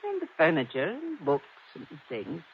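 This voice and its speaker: A man's voice: on the high side, wildly swooping pitch, normal speed, a little hiss or hum. A prim old housekeeper, a woman guarding her house in a 1950s radio drama. The voice is raised and swoops expressively, with formal, genteel phrasing. The old recording is slightly dull. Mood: formal, guarded.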